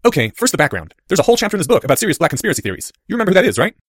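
A man voicing a bright, excitable, nerdy schoolboy who explains things eagerly and wordily in an audiobook story. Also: high-pitched, rapid-fire, slightly rough, dry recording, studio quality, voice pushed up, heavily acted. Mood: amused. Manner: animated, eager, wordy explaining.

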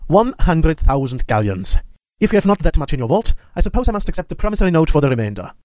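A man plays a haughty aristocratic wizard villain for an audiobook, pitched a bit high. He talks briskly and formally, with smug, cold menace, in a very dry recording. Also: sing-song, darkish tone, no echo. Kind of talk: audiobook character acting.

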